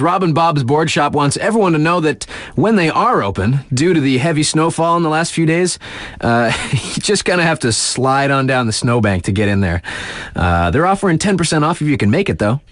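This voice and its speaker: A man's voice: fairly high pitch, no echo. A man playing a laid-back, sardonic young radio DJ in a video game, reading local news in a wry, slangy, conversational way. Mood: breezy, wry.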